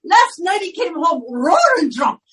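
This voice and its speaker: Gossipy neighbor: piercingly high, animated, loud radio comedy, noisy clicking recording. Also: female voice, unhurried, exaggerated voice. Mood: loud gossiping. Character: loud gossiping Irish neighbor woman shouting out a window. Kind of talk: old-time radio comedy acting.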